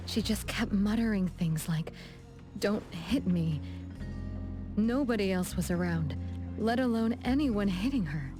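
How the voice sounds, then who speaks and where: A woman's voice: medium pitch, expressive, unhurried, close to an everyday voice. A woman voicing a poised, gracious young lady in a video game, composed and polite with a lightly teasing touch. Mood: thoughtful. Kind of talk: game dialogue acting, storytelling. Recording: music behind the voice.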